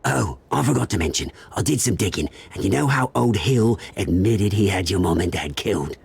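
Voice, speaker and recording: A man's voice: deep voice, expressive, fairly quick, gravelly, breathy edge, exaggerated voice. An actor playing a smug, scheming male crime boss, sneering and theatrical. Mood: taunting. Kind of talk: video game voice acting.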